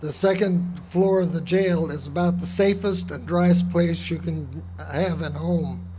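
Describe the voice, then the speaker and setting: Male actor as crooked sheriff, high male voice, domineering, folksy, radio play, some background noise. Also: steady tone, a little slow, close to an everyday voice. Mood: gruff, bossy. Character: crooked, bullying small-town Arizona sheriff. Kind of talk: live radio drama acting. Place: live theater stage. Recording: noisy live amphitheater stage recording.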